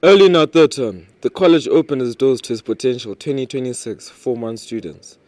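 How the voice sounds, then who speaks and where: A man's voice: medium pitch, brisk, darkish tone, no echo. A man presenting a school newsreel in scripted narration.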